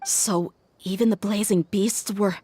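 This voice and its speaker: A woman's voice: mid-range voice, steady tone, unhurried, warm tone, slightly rough. A woman playing a tough, headstrong young mercenary in a video game, blunt and commanding, fierce yet caring. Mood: bewildered. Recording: music behind the voice. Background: game music.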